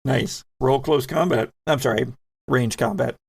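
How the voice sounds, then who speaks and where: A man narrating a sci-fi horror tabletop game as host and game master, with measured, dramatic storytelling. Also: medium pitch, creaky, slightly rough, dry recording, clean studio sound.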